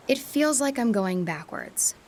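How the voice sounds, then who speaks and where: A woman's voice: fairly high pitch, lively intonation, unhurried, slight background noise. A woman playing a wistful young adult back home from university, speaking in a reflective, confessional radio drama monologue. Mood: troubled, tender.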